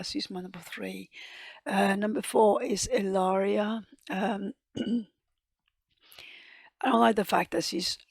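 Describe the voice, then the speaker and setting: A woman reviewing a TV episode in casual chat, losing her voice, often clearing her throat and hesitating with ums. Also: medium pitch, dry recording, clean studio sound. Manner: hesitant, many ums and restarts, soft half-whispered delivery. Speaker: TV reaction and review host.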